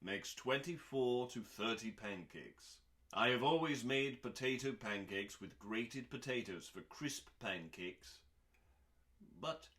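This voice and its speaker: A man with a slow, measured delivery reads aloud like an audiobook narrator. He treats plain instructional text as drama, pausing deliberately and leaning on chosen words. His pitch sits in an average male range. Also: bright, forceful tone. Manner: theatrical, deliberate, with pauses and emphasis. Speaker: host reading cookbooks aloud. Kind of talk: dramatic audiobook-style reading of recipes.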